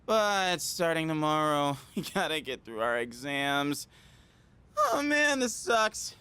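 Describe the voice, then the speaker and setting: A man's voice: fairly high pitch, sing-song, slow, sharp tone, clean tone, no room sound, full character voice. An actor playing a goofy, girl-crazy teenage boy in a video game, slangy and exaggerated. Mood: whining. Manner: slangy, exaggerated, swinging between dreamy and whiny.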